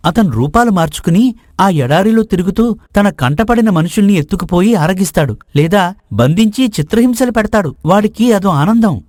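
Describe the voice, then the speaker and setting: A man acts out a prince's companion in a storybook drama. He is a knowing, eager tale-teller with a notably high male voice, recorded dry and close. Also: lively intonation, normal speed, a little mellow, dry recording. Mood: intriguing, explanatory. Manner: explanatory storytelling. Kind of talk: dramatized moral story dialogue.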